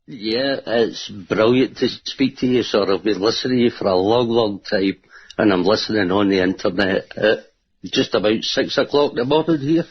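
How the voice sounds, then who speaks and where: A man's voice: lowish voice, normal speed, breathy edge. A man from Scotland with a strong Scottish accent, chatting casually as a caller on a radio show. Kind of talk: casual chat. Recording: muffled internet call on radio.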